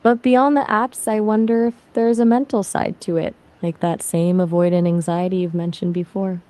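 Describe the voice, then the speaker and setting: A friendly synthetic woman's voice with a slightly low pitch, chatting casually as a conversation partner. She sounds attentive and keeps things moving with quick follow-up questions. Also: fairly even intonation, warm tone. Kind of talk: casual chat.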